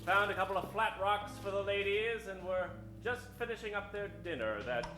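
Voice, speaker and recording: A man's voice: high-pitched, moderate pace, pushed and bright. A man narrating and announcing an improvised old-time radio show with a dramatic delivery. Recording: echoey stage, music behind.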